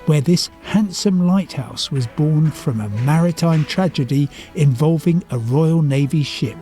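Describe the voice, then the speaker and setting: A man's voice: fairly high pitch, a little slow, warm tone, soft background music. A man presenting a travel documentary in polished, enthusiastic scripted narration.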